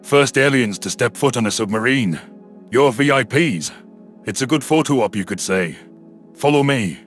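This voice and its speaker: A male narrator puts on a gruff submarine commander for a sci-fi audio drama. The voice is throaty and mid-pitched, with a hearty, confident command. Music plays behind it. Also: lively intonation, normal speed, lightly acted. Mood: brisk, welcoming. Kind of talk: audio drama character dialogue.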